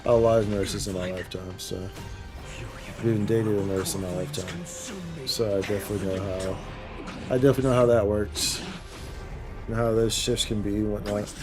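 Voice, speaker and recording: A man's voice: fairly low pitch, steady tone, a little fast, pauses often, over music. A man chatting casually and slangily as he streams games, often repeating phrases for emphasis. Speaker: game streamer, host. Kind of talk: casual livestream chat while gaming.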